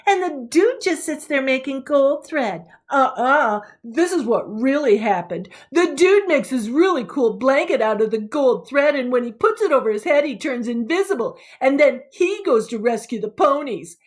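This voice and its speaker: A woman's voice: sits high, expressive, moderate pace, few pauses. A woman voicing a cocky boy who tells an action story in a blunt, slangy, sardonic way, as a storybook read-aloud. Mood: smug.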